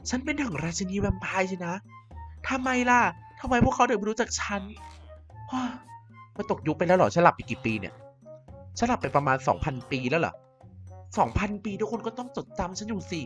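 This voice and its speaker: A woman's voice: mid-range voice, very animated, a little fast, voice pushed up, natural voice. A woman voicing a vain, mischievous female vampire queen in a Minecraft cartoon, talking in a theatrical, self-important way over faint music. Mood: indignant. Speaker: narrating YouTuber doing all voices. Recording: slightly dull with faint music.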